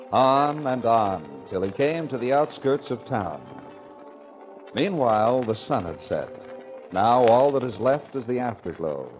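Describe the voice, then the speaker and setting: Melodramatic male radio narrator over music. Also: mid-range voice. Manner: melodramatic, mock-solemn delivery. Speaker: announcer and narrator. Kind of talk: 1940s radio scripted narration. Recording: muffled 1940s radio recording.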